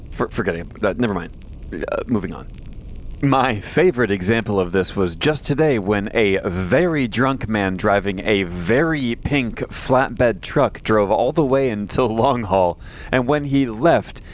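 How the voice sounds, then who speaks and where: Wry wasteland radio host played by a man, noisy backdrop. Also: lowish voice. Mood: hushed, weary. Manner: conversational, wry, rambling asides and self-corrections. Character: wry, weary male wasteland radio host keeping night watch. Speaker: post-apocalyptic radio host. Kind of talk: audio drama monologue as a late-night radio show.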